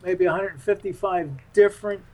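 Higher-pitched, halting man interviewed. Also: steady tone, darkish tone, poor audio. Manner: halting, frequent repeats and hesitations. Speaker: interview guest. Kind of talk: casual reminiscing interview talk.

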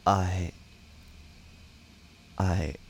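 A man's voice: sits low, fairly even intonation, very slow, creaky, slight background noise, heavily acted. An actor playing a withdrawn, shaken young man in an audio drama, speaking in a hesitant, subdued way. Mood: evasive, nervous.

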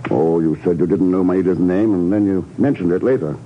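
An actor playing a shrewd, unflappable secret agent with a dry, terse manner in a 1950s radio drama. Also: male voice, sits low, fairly quick, close to an everyday voice. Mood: coolly explaining. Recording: muffled old radio recording, faint noise.

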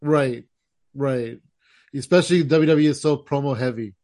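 An American man hosting a casual interview, easygoing and quick to agree. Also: medium pitch, a little mellow, no room sound, studio quality. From United States. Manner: easygoing, says "hell yeah", laughs along. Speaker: host.

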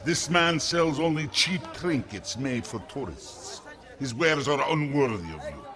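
An actor playing a sinister tour guide in a radio drama, formal and courteous. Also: male voice, medium pitch, animated, a little slow, natural voice. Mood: courtly. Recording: music and crowd behind the voice.

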